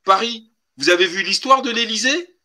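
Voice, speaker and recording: Forceful, bright-voiced man, emphatic and insistent. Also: high-pitched, normal speed. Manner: emphatic, insistent, rhetorical questions, colloquial. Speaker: live stream host. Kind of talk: impassioned political talk on a live stream. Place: online group call.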